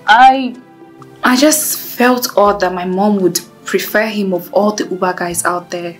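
Woman acting earnest young woman, soft-spoken, mid-pitched. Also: fairly even intonation, a little slow, soft background music, natural voice. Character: young woman, apologetic job seeker. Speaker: actor.

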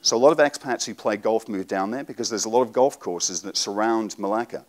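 Man in his forties, lowish voice, seminar presenter, relaxed, lots of ums, conference room. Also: a little fast. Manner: relaxed, frequent ums, explanatory. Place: hotel conference room with audience.

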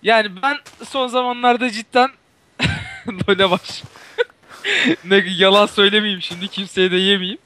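Tiz, parlak sesli erkek oyun arkadaşı. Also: male voice, high voice, a little slow, pauses often, bright, forceful tone. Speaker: friend and guest co-commentator. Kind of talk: casual chat during a game.

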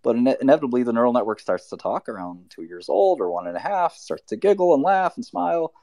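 A man's voice: medium pitch, big pitch swings, fast talker, warm tone, dry recording, studio quality. A man from Albania hosting a live audio chat, talking loosely with many fillers and restarts. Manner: rambling, lots of fillers and restarts. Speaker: host.

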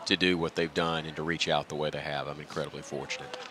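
A man's voice: a bass voice, flat delivery, fast talker, noisy background. A middle-aged man chatting as a guest in a broadcast booth interview, self-deprecating and reflective. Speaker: guest, radio play-by-play announcer. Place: stadium press booth at a baseball game. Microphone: broadcast headset. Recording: outdoor ballpark ambience behind voice.

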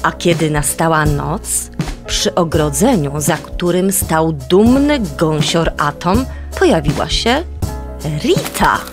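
A woman narrating a children's story in a deliberate, suspenseful way. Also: low voice, expressive, music in the background.

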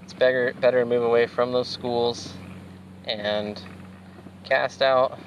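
A man in his thirties with a Pacific Northwest American accent, hosting a fishing video from a kayak and explaining techniques in a relaxed way with plenty of fillers. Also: mid-range voice, takes it slowly, slight background noise, rough recording. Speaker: fishing show host. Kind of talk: casual instructional commentary. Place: outdoors on a kayak on a lake. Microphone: action camera mounted on the kayak.

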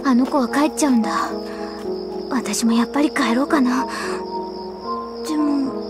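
A woman playing a timid, easily flustered high-school girl in an anime audio drama, hesitant and anxious as she talks. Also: moderate pace, music in the background, natural voice. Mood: puzzled, uncertain.

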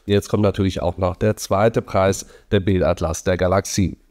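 Middle-aged male host, hoarse and nasal from a cold, lively at a desk, lowish dark tone. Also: normal speed, a little hiss or hum. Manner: lively, animated presenting. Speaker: astrophotography channel host. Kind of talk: casual presenting, sky preview and prize draw. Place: home study indoors.

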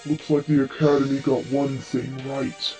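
Woman doing a low, flat, imposing man. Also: moderate pace, soft background music. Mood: menacing, mocking. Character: deep-voiced male characters, grave and imposing. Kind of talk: fan fiction character voice.

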